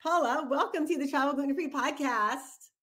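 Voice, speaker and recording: A woman's voice: high voice, moderate pace, a bit bright. Bubbly upbeat woman podcast host. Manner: bubbly, enthusiastic, laughs easily. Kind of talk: casual podcast interview.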